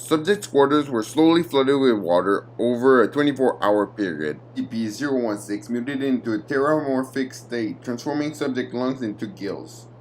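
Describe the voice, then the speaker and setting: Man reading formally, noisy recording. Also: medium pitch. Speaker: narrator. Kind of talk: scripted narration of a clinical horror document.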